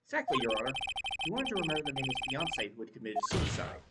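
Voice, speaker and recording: A woman's voice: very deep voice, big pitch swings, rapid-fire. A woman playing an earnest young lawyer. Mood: insistent. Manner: emphatic, insistent. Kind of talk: voicing game text aloud. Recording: game music behind voice. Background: loud game music.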